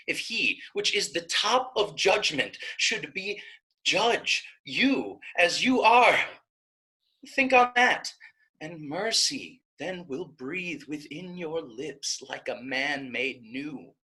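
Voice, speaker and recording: Impassioned young maiden pleading, acted by a woman, wide-swinging pitch. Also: expressive, slow pace, pushed and bright, heavily acted, rough recording. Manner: impassioned, rhetorical verse delivery. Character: pleading young maiden Isabella, passionate and righteous. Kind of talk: Shakespeare staged reading.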